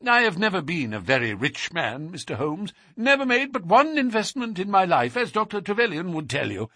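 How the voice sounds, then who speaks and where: A man's voice: high-pitched, normal speed, no echo, studio quality, voice pushed up, heavily acted. A man voicing a nervous, fearful fat man with a reedy, quivering voice for an audiobook story. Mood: nervous, confiding. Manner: stammering, anxious, excitable. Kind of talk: audiobook character voice.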